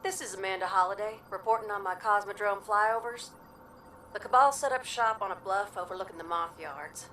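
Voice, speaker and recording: A woman's voice: mid-range voice, fairly quick, fairly bright tone, far from the mic, a little hiss or hum, natural voice. A woman voicing a brash pilot giving a casual, folksy scouting report in a video game. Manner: casual, folksy, plain-spoken. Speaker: game character.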